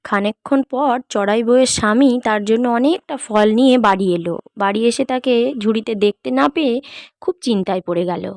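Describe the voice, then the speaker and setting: A woman's voice: on the high side, a little fast, a little mellow, no room sound, studio quality. A woman narrator reading a scripted children's cartoon story.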